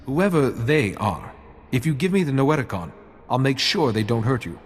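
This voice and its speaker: An earnest patient jedi in a game, middle voice, long reverb. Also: male voice, mid-range voice. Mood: calm. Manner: calm, measured, polite. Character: calm male Jedi hero, earnest and patient.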